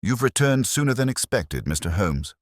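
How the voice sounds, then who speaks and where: A man voicing a tired, evasive gentleman solicitor in an audiobook, reluctant, clipped and formal. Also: fairly low pitch, big pitch swings, moderate pace, no echo, studio quality, voice pushed up. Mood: curt. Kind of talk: audiobook character dialogue.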